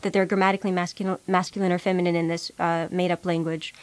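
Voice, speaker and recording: A young adult woman whose native language is Russian, answering interview questions as a researcher, explaining in a measured way with many ums and uhs. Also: on the low side, little pitch movement, no echo. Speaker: psychology researcher.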